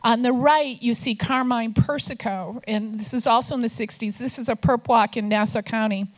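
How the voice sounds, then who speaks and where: A woman's voice: fairly high pitch, normal speed, poor audio. An older woman from Minnesota, an investigative journalist telling stories to a press club audience with wry asides. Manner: storytelling, wry asides, puts on gruff quoted voices.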